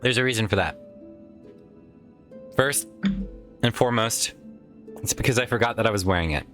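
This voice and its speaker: A man's voice: on the low side, normal speed, a bit bright, soft background music. A man casually commenting while playing a video game, chatty and rambling with some swearing, his voice tired from a lot of recent use. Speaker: let's play host.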